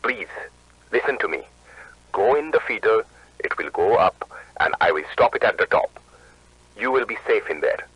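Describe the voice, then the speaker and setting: A man's voice: on the low side, animated, normal speed, pauses often, husky, no room sound, dull audio. A man playing an urgent control-center operator giving orders, heard through a radio filter. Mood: pleading, urgent.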